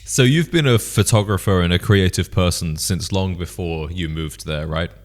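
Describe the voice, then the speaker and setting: A man talking as a podcast host, articulate and measured in a conversational interview style. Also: low voice.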